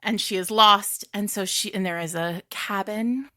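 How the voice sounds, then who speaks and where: Bright, forceful woman podcast host. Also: mid-range voice, fairly even intonation, unhurried, no room sound, clean studio sound. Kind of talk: casual book recommendation chat.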